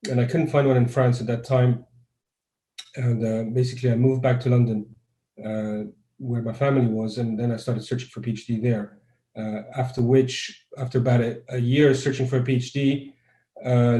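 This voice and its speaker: A man's voice: medium pitch, mellow, roomy sound, low-quality recording. A man from Croatia, a guest neuroscientist, answers interview questions over a video call in a thoughtful, conversational way and often restarts his sentences.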